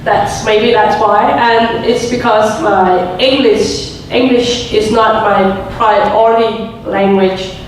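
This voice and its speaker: Danish woman with a cold, talks real slow and hesitant, echoey room from far away. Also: medium pitch, steady tone, slight background noise. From Denmark. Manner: hesitant, searching for words. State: has a cold. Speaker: guest speaker. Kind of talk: classroom presentation.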